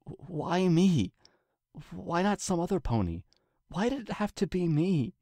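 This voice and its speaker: A man's voice: sits high, big pitch swings, unhurried, dark tone, no room sound, voice pushed up, exaggerated voice. A man voicing an anguished young female pony princess in a dramatic story reading, pleading urgently and indignantly. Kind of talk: dramatic fan fiction reading.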